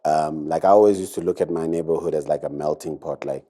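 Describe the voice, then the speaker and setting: A man's voice: extremely low pitch, fairly even intonation, fast, mellow. A man, a recording artist, reflecting in a rambling casual chat full of fillers. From South Africa.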